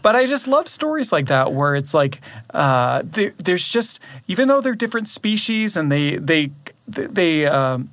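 A man's voice: on the high side, very animated, unhurried, fairly bright tone, no echo. A man chatting casually as a panelist on a radio show, searching a little for his words. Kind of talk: casual studio discussion. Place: dry radio studio.

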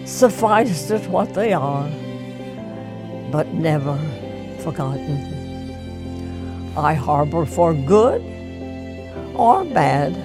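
Woman, poetry reading, very slow, halting pauses, music underneath. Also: fairly low pitch. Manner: slow, halting, with long pauses between phrases. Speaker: documentary participant reading poetry.

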